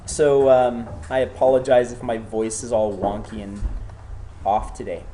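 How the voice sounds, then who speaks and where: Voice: medium pitch, little pitch movement, measured pace, mellow, slight background noise. A math teacher who has a cold gives a classroom lecture, often checking in with students and encouraging them.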